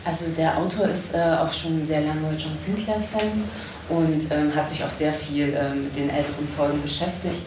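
A woman with a low voice answers informally in halting phrases at a live panel. She sounds distant in an echoey room, with noticeable noise behind her. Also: steady tone. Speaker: panel guest.

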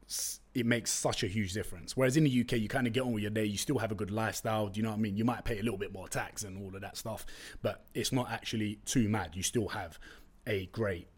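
A man's voice: on the low side, fast, breathy edge. A young man from East London with a London accent, a Turkish native speaker, hosting a casual solo podcast in a chatty, slangy, joking way. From East London, England. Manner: chatty and animated, slangy, jokey asides. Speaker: podcast host.

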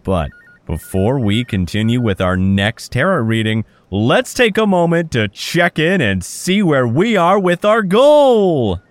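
A man's voice: mid-range voice, big pitch swings, unhurried, dry recording. A man playing an ingratiating telethon host with showy, theatrical broadcast patter. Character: smooth-talking male telethon host soliciting donations.

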